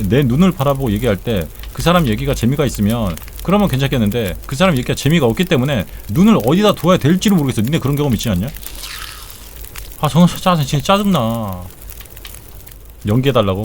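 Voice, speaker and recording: Lively, rambling man in his forties. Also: medium pitch, fast, slight background noise. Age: 40s. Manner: rambling, joking banter with viewers, blunt swearing. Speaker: game streamer. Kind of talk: casual live-stream chat while gaming.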